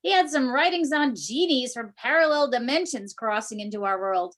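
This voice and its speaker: Woman reading a high-voiced geeky conspiracy researcher. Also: animated, normal speed, fairly bright tone. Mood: intrigued. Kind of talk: amateur readers theater reading.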